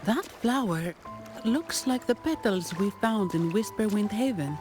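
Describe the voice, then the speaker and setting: An actress playing a level-headed, inquisitive young woman adventurer in a video game, speaking in a measured, friendly way. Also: medium pitch, animated, natural voice. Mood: curious. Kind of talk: English video game voice acting. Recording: game music behind the voice.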